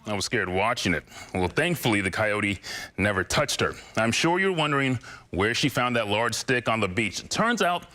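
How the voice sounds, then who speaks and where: A man's voice: fairly low pitch, animated, normal speed, bright, forceful tone. A man reporting a local news story in the field and chatting with the anchor.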